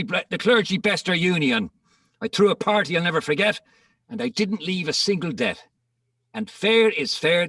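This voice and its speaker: A man's voice: on the high side, gravelly, pushed and bright, no echo, distant mic, heavily acted. A man reciting comic verse as a bitter, bawdy husband, theatrical and scornful. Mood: bitter, wry. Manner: scornful, theatrical, relishing the insults. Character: bitter, bawdy old Irish husband ranting in court.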